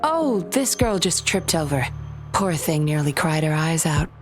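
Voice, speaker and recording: Game role: cunning undercover officer, composed, smooth-talking, slightly low voice, clear music behind. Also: female voice, sing-song, measured pace. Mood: disarming. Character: sly, composed undercover female patroller. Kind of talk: video game voice acting.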